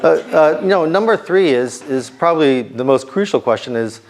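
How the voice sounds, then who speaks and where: Middle-aged male speaker, a little hoarse, preaching to students in a relaxed, talky way. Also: a little high, moderate pace. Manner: conversational, many ums and restarts. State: slightly hoarse. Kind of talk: informal sermon to students.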